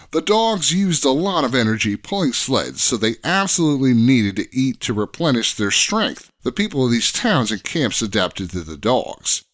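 A man's voice: mid-range voice, fairly bright tone. A man giving an informative, scripted-sounding podcast explanation as a guest expert, with an articulate storytelling delivery.

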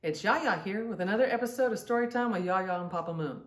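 A woman's voice: mid-range voice, quick pace. Middle-aged woman reading aloud, roomy sound. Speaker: storytime host reading aloud. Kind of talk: read-aloud children's storytelling. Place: indoor room at home. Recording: roomy, distant-mic home recording.

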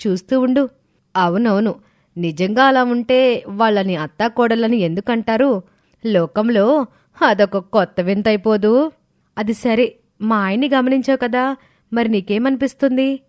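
A woman's voice: a little high, a little slow, pauses often, a little mellow, no room sound, studio quality, close to an everyday voice. A woman playing suspicious, sly young women in a cartoon family story. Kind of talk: scripted cartoon dialogue.

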